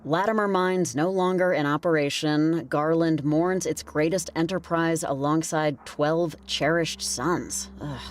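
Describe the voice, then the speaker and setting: A woman's voice: sits low, measured pace, few pauses. A woman playing a curious, wry, level-headed heroine in an adventure game, casual and questioning. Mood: reading aloud. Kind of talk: naturalistic game voice acting. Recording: faint music under the voice.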